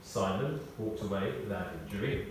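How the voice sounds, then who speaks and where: A British man reads a scripted memorial tribute as a eulogist, telling anecdotes in a measured way with pauses and emphasis. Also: fairly low pitch. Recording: very echoey, distant mic, faint noise.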